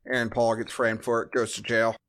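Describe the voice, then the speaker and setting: A man's voice: medium pitch, little pitch movement, fairly quick, fairly bright tone. A man hosting a podcast, chatting casually as he reviews a movie. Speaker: podcast host.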